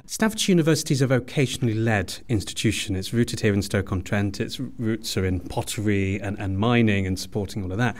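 A man's voice: lowish voice, brisk, hardly any pauses. A man speaking as an academic guest in an interview, giving explanatory answers. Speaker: university head of department, interview guest.

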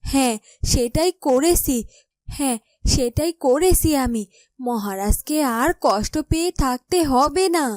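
A woman's voice: sits high, lively intonation, a little slow, a little mellow, no echo. A woman voicing a loyal, caring royal attendant in a fairytale cartoon, earnest and emphatic as she explains. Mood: pleased, earnest. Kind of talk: Bengali fairytale cartoon dialogue.

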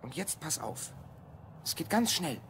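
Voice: mid-range voice, sing-song, measured pace, a bit bright, slight background noise. A performer playing a secretive boy of twelve from the future in an audio drama, explaining things confidingly. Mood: conspiratorial. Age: child character, twelve. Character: Rhonn, secretive stranded boy time traveller from the future. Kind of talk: German audio drama acting.

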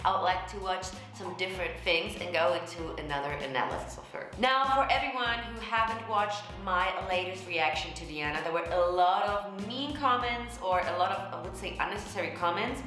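Animated woman vocal coach, echoey room. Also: on the low side, moderate pace, few pauses, over music. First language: German. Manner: animated, gestures a lot, explanatory. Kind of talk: casual reaction commentary to camera.

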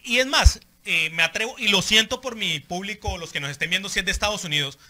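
High, sharp-voiced Colombian man. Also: fast. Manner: insistent, repetitive, starts phrases over. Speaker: podcast panelist. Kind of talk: casual heated debate among friends. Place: small band rehearsal room with acoustic foam. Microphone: handheld microphone.

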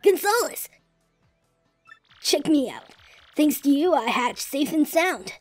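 Lady doing a cocky little dino boy for a game dub, high voice, brash, music playing. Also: big pitch swings, slow, full character voice. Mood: cocky, eager. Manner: brash, slangy. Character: cocky, eager newly hatched young male dinosaur.

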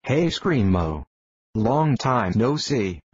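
A man plays a brash young cartoon hero with a husky, everyday voice and blunt, swearing talk. The sound is dry and a bit muffled, like a cheap home mic. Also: mid-range voice, very animated, slow pace, no room sound, exaggerated voice.

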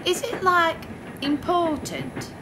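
A woman's voice: very animated, slow pace, exaggerated voice. A woman playing a naive, curious young woman, hesitant and questioning, in a radio play. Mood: innocently curious. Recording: train rumble behind the voice.

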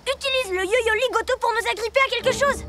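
A sensible young superhero boy from a cartoon who reasons things out, his very high voice bright and sharp. Faint music plays under him. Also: fast talker. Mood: thoughtful. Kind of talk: French cartoon dub.

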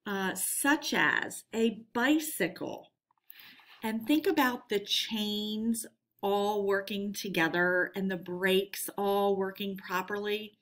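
Lady teacher doing a read aloud for kids, takes it slow, voice goes up and down a lot. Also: mid-range voice, fairly bright tone. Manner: clear, instructional, addressing pupils. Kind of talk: read-aloud lesson for children.

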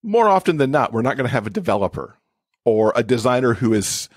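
A man's voice: mid-range voice, sing-song, a little fast, dry recording, clean studio sound. A man being interviewed as an expert guest, explaining things conversationally with everyday examples. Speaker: podcast guest, accessibility expert and author.

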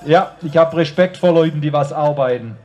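A man with a Swabian accent and a slightly high voice speaks from an outdoor stage. His delivery is informal and colloquial, as a rally speaker talking straight to the people in front of him through a handheld microphone. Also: normal speed, darkish tone. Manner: colloquial, addressing the crowd directly. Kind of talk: informal speech at a political rally. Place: outdoor stage under an open tent.

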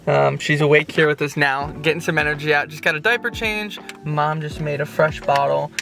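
A man's voice: on the high side, steady tone, a little fast, vocal fry, bright, forceful tone, soft background music. A young man in his twenties giving chatty, upbeat updates to a handheld camera during a road trip. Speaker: vlogger. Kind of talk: casual travel vlog. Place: car and parking lot at night, handheld camera. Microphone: handheld camera at arm's length.

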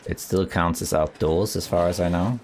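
A man with a raspy voice, still getting over a cold, calmly commenting as he plays a survival game and thinks aloud. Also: deep voice, moderate pace, slight background noise. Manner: relaxed, thinking aloud while exploring. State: recovering from a cold. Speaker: gameplay commentator. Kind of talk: calm let's play commentary.

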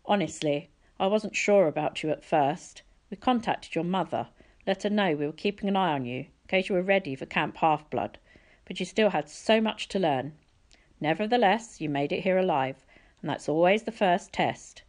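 A woman voicing a kindly older male teacher in a storybook, courteous and gently wry. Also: on the low side, lively intonation, normal speed, lightly acted. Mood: explaining, reassuring.

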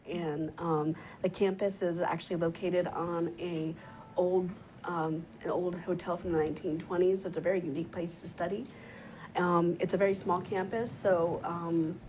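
Lowish-voiced woman hosting a webcast. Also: a little mellow, slight background noise, rough recording. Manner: chatty, many fillers and restarts. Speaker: host, program coordinator. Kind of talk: hosting a live webcast panel. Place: TV studio set. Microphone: lapel mic.

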